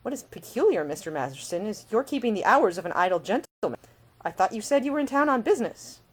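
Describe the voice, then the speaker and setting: A woman plays a young lady of twenty-three in an audiobook. She is prim, brisk and curious, speaking in precise, formal sentences. Her pitch moves with a lot of animation. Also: very animated, slightly rough. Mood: curious, challenging. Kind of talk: audiobook character dialogue.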